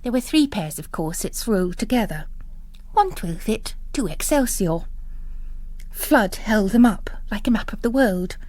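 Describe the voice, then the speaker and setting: A woman reading literary fiction as narrator, in a measured, expressive storytelling delivery. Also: medium pitch, no room sound. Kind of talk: dramatised audiobook storytelling.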